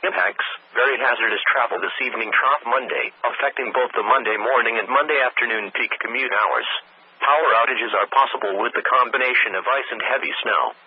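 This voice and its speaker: A synthetic text-to-speech man reads a scripted weather warning bulletin over the radio in measured, impersonal, segmented phrases. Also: medium pitch, breathy edge, no room sound. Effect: weather radio broadcast. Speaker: automated weather radio announcer. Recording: tinny narrowband weather radio broadcast.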